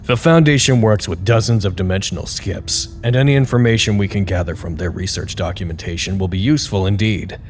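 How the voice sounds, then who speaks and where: An actor playing a wry, deadpan announcer reading chatty status reports in a scripted audio drama broadcast. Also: male voice, on the low side, slight background noise, lightly acted. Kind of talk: scripted in-character radio broadcast. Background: music.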